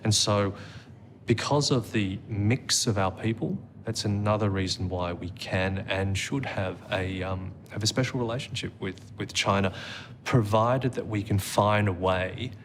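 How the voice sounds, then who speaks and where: A middle-aged man, telling stories reflectively as an interview guest, with frequent pauses and fillers. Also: fairly low pitch, background noise. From Australia. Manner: reflective storyteller, measured with frequent "um"s. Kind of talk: conversational interview. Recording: music playing underneath.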